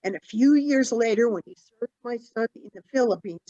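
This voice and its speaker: A woman's voice: fairly high pitch, expressive, normal speed, warm tone, lightly acted. Woman acting forgetful genteel old lady, muffled audio. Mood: earnest reminiscence. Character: elderly forgetful genteel lady, mother of a grown son. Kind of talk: stage acting in an online one-act play. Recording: dull lo-fi online call audio.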